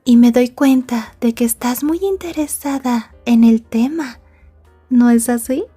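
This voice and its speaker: A woman playing a possessive, polite young yandere girlfriend in an ASMR roleplay, speaking in a prim, wordy and softly menacing way. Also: fairly high pitch, unhurried, breathy edge, faint music behind. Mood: prim, jealous. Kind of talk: Spanish-language ASMR roleplay acting.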